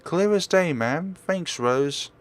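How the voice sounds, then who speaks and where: A man's voice: on the high side, expressive, slow, pitched above the performer's own voice. A man voicing a stubborn, plucky young female real estate agent in a visual novel, eagerly brushing off concern. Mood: reassuring.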